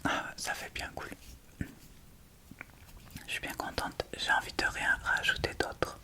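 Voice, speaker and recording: A woman whispering in a breathy, unvoiced voice, casually thinking aloud as she presents an ASMR makeup tutorial. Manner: hushed, unhurried, informal thinking aloud. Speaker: ASMR video creator.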